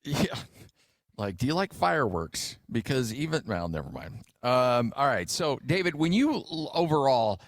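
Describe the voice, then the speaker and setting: A man hosts a casual sports radio interview in a mid-pitched voice. His intonation swings in a lively way, and the sound is close and dry like a studio. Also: normal speed, no echo.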